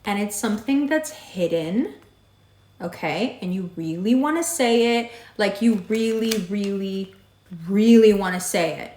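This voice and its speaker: A woman with a raspy voice giving a monthly horoscope reading, direct and emphatic, repeating her advice. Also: mid-range voice, unhurried, a little mellow, slight background noise. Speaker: astrology reader. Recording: echoey room.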